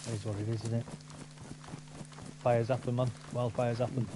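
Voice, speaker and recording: A man's voice: medium pitch, little pitch movement, normal speed, noisy background. A man chatting casually with a friend while gaming, rambling and opinionated with many restarts. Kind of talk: casual chat over gameplay.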